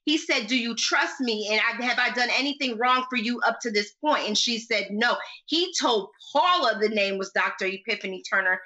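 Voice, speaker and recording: American woman, bright forceful voice, casual recap co-host, emphatic and opinionated, muffled video-call sound. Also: on the high side, moderate pace, hardly any pauses. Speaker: podcast co-host. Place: small home room indoors. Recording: slightly dull video-call audio.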